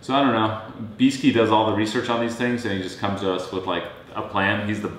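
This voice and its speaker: A man in his thirties from Serbia, co-hosting a casual chat in a relaxed, rambling way. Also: a little fast. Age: 30s. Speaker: co-host. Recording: very echoey room, faint rumble.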